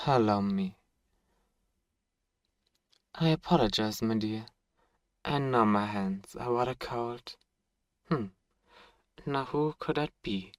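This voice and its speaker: A man's voice: mid-range voice, dry recording, close to an everyday voice. A man playing a suave, possessive, menacing vampire lord in an ASMR roleplay, speaking in a formal, mockingly tender way. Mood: mocking, courtly. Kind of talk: ASMR roleplay audio drama.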